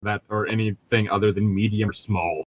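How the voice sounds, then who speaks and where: A man's voice: fairly low pitch, fairly even intonation, a little fast, dry recording. A man co-hosting a read-along show, chatting casually between read passages with a mocking, sardonic manner.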